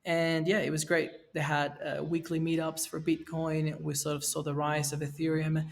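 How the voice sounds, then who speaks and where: A man in his thirties from Venezuela, a podcast guest speaking in a relaxed way, with fillers and restarts. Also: fairly high pitch, moderate pace, creaky. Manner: relaxed, with fillers and self-corrections. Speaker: guest, NFT project co-creator. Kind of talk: casual podcast interview. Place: Mexico, where he is now.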